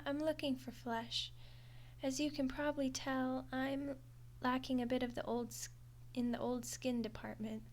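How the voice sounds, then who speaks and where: High-voiced, unhurried skeleton girl played by a woman. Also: a little hiss or hum. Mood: curious, chatty. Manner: hesitant, chatty, wryly defensive. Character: friendly, awkward undead skeleton girl. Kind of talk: audio roleplay monologue to the listener.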